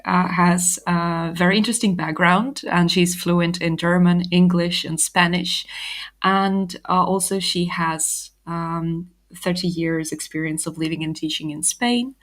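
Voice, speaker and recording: A woman hosting a live online session, upbeat and chatty, with fillers and laughter. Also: lowish voice. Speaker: host.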